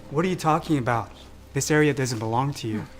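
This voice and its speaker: Male actor as earnest teenage schoolboy, medium pitch, scripted drama dialogue, faint music underneath. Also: fast, lightly acted. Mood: indignant. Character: earnest high school boy standing up to a bully. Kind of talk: scripted moral drama acting.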